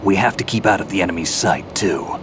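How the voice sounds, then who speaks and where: An actor playing a gruff veteran soldier with a curt, dry way of talking. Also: male voice, low voice, animated, a little fast, background noise.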